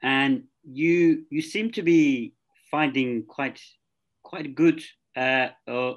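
A man's voice: medium pitch, slow, highs cut off. A man moderating a film festival Q&A over a video call, asking questions with frequent restarts and fillers. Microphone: video call laptop mic.

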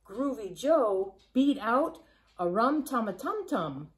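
A woman reads a children's picture book aloud in a living room, with playful emphasis and deliberate phrasing. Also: fairly high pitch, big pitch swings, a little hiss or hum. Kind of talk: expressive children's storybook read-aloud. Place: living room at home, on a couch.

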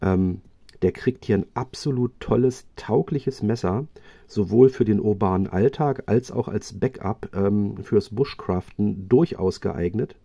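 A man reviewing knives in a chatty, casual way, often pausing with fillers and restarting his sentences. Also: fairly low pitch, creaky, dark tone, husky, no echo. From Germany. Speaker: knife reviewer. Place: indoors at a table, hands-only video.

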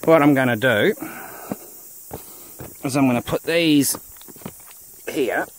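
Male off-road vlogger, casual and understated, average pitch, thinking aloud on a slightly noisy outdoor recording. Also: slow pace. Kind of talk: casual off-the-cuff vlog commentary. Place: outdoors on a forest dirt track. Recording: noisy outdoor handheld camera audio.

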